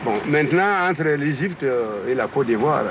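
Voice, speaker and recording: High-voiced man chatting on the street. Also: animated, normal speed. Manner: short, direct remarks. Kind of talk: street chat. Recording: outdoor traffic noise.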